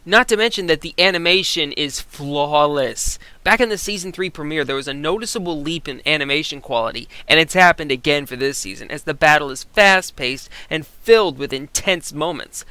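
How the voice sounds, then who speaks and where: A man co-hosting a review in a conversational but scripted style, with an animated, emphatic manner. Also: a little high, normal speed, few pauses, clean tone, no room sound. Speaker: co-host reviewer.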